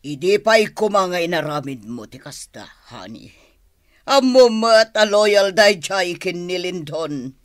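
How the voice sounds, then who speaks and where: High, sharp Filipino henchman. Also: sing-song, takes it slowly, dry recording, clean studio sound, exaggerated voice. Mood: scheming. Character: sly, scheming henchman advising his boss. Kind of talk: radio drama acting.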